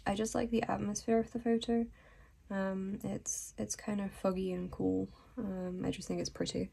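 A young woman who is sick and losing her voice, talking softly in a rambling casual voice-over about her journal. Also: mid-range voice, level tone. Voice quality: hoarse. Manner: soft, unhurried and rambling with little laughs. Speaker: vlogger.

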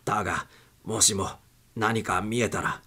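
A man's voice: lowish voice, fairly even intonation, unhurried, bright, forceful tone, slightly rough, heavily acted. A man voicing a desperate, panicked male passenger in a story reading, urgent and pleading. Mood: insistent.

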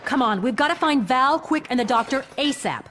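A woman playing a brisk, tough team leader in a video game, commanding and urgent. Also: sits high, lively intonation, very noisy. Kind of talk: video game cutscene acting.

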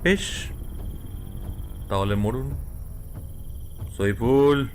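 A man playing a coldly threatening village gangster in an audio horror drama, speaking with deliberate menace. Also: medium pitch, a little hiss or hum. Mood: deadly calm.

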